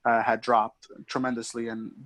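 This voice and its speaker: A young man from Mexico, a podcast guest, talking in a casual, hesitant way with many pauses and restarts. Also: mid-range voice, completely flat delivery, fairly bright tone. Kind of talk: casual conversation.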